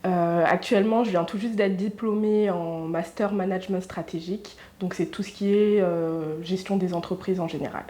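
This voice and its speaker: A woman's voice: fairly low pitch, fairly even intonation, moderate pace, hardly any pauses, mellow. A woman in her twenties, a recent business graduate, speaking thoughtfully and with some hesitation in a documentary interview. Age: 20s.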